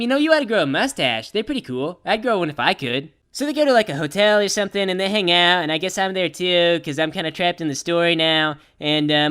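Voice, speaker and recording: A woman's voice: low-pitched, a bit bright, clean tone. A woman voicing a brash, easygoing young cartoon pegasus pony who tells a story in a casual, rambling slacker way. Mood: mildly exasperated. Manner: casual slacker rambling, full of "like" and "you know". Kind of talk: improvised-sounding comic cartoon storytelling.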